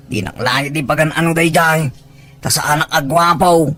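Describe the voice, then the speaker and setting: A man plays a bashful young bachelor in a village comedy drama. His voice is high and bright, naive and lovestruck in its delivery. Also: a little slow. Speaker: voice actor in an Ilocano audio drama. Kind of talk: dramatic comic dialogue.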